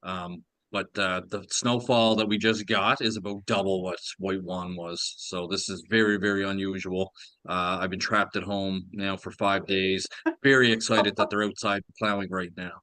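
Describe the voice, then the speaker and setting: Older man, lowish forceful voice, dry sound, casual co-host. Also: steady tone, moderate pace, dry recording. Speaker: co-host, retired police officer. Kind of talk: casual chat. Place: remote call from home.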